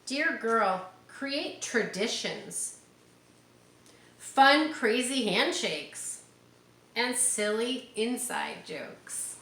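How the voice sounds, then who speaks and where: A woman reads a children's picture book aloud at a slow, unhurried pace. She stretches key words expressively and adds encouraging, chatty explanations for young listeners. The room echoes around her voice, with a little background noise. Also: on the high side, animated, fairly bright tone. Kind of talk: read-aloud storytelling with chatty asides. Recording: roomy echo with background noise.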